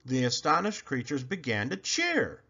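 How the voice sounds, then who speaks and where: A man reading a children's picture book aloud in an expressive, animated storytelling manner. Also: mid-range voice, normal speed, slightly rough. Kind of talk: children's read-aloud narration. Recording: slightly muffled recording.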